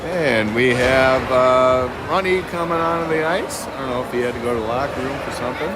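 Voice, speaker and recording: Groggy, slightly hoarse man calling rink-side play-by-play, chatty asides, loud rink noise around him. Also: mid-range voice, a little fast. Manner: chatty asides, frequent uh fillers, clears throat. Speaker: amateur hockey play-by-play commentator. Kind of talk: casual youth hockey play-by-play. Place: ice rink stands.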